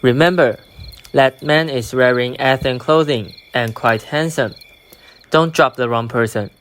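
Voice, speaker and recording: A woman's voice: rumbling low, measured pace, slight background noise. A woman playing a commanding male fairy king in an amateur radio drama, giving orders with authority.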